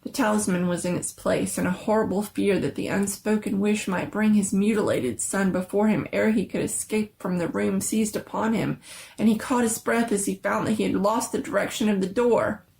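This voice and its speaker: A woman giving a dramatic, suspenseful reading of a scary story, with theatrical and deliberate phrasing. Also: medium pitch, creaky, a little mellow, slight background noise. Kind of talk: dramatic scripted story narration.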